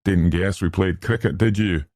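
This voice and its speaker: A man's voice: deep voice, moderate pace, darkish tone, no room sound, studio quality, lightly acted. A man voicing a smug, boastful young schoolmaster in a storybook, hearty and pleased with himself in clipped, slangy phrases. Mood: boastful, beaming.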